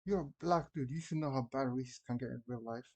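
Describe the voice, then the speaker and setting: A man's voice: mid-range voice, fast talker, background noise. A man giving casual, slangy, exclamatory commentary as a reaction video host.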